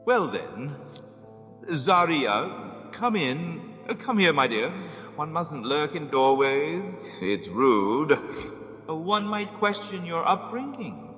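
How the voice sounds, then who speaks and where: A man's voice: on the high side, very animated, slow, heavily acted. An actor playing the fatherly master of a haunted estate, a courteous and proper host in an audio drama. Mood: gently scolding. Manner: courteous, proper, gently scolding. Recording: reverberant hall with faint music.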